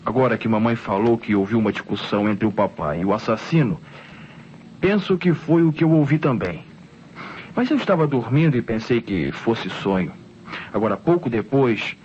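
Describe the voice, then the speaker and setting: A man's voice: medium pitch, a little mellow, natural voice. An actor playing an insistent, convinced boy with a Brazilian accent in an old radio drama. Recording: dull, noisy old radio recording.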